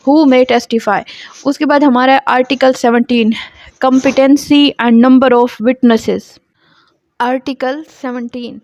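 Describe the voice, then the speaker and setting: A woman with a Pakistani accent giving an academic law lecture, reading out provisions deliberately and explaining them as a teacher would. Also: on the high side, darkish tone, dry recording. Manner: slow, deliberate English reading alternating with quicker Urdu explanation. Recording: muffled, dull lo-fi audio.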